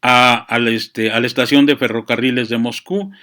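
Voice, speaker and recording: A man giving a reflective, unscripted monologue, often halting to search for words and adding verbal tags. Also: medium pitch, fairly even intonation, pushed and bright. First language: Spanish. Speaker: speaker on chess and literature. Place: small room with books.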